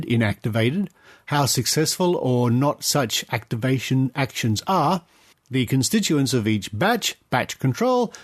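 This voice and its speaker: An Australian man hosting a podcast, reading news and commentary in measured, deliberate phrases. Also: medium pitch. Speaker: podcast host. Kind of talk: scripted news commentary, reading reports aloud.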